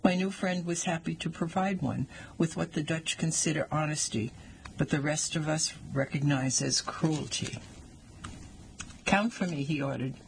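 Older man, 60s, essay reader, wry delivery, gravelly edge, faint background noise. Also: a little high, steady tone, moderate pace, poor audio. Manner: wry, dry humor. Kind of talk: reading an essay aloud.

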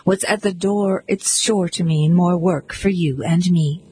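A man's voice: high-pitched, steady tone, a little slow, darkish tone, slight background noise, rough recording. A woman reading a poem, speaking the lines of a selfish young man who brushes off a plea. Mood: dismissive.

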